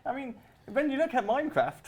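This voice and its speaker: A man's voice: extremely high pitch, very animated, brisk, over a noisy crowd. A man chatting informally as a game artist on a panel, reminiscing and explaining. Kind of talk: casual reminiscing chat.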